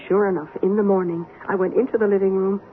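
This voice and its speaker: A woman's voice: mid-range voice, steady tone, quick pace, soft background music, natural voice. An actress playing a sensible woman who recounts her housemate's eerie obsession in an earnest, suspenseful radio drama style. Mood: suspenseful recounting. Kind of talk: old-time radio drama acting. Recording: noisy, narrow-band old radio recording.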